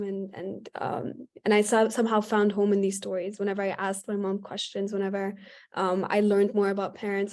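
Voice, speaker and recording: A young woman from Qatar talks through her writing process over a video call. Her voice sits at a middle pitch, and she speaks casually and reflectively, with plenty of fillers along the way. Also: a little mellow. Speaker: workshop writer. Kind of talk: online panel answer.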